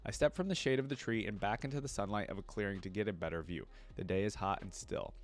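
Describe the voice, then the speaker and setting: A man with a fairly low voice reads fiction aloud. He is a content creator, and his delivery is expressive storytelling with a casual, reactive side. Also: fast.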